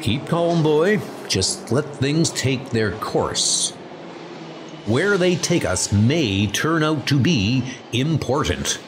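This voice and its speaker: Man as cool, measured adventure hero. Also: medium pitch, long reverb tail, background noise. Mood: reassuring. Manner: calm, measured, heroic. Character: cool, confident adult male adventurer in post-apocalyptic world. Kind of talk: comic dub character acting. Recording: music under the voice.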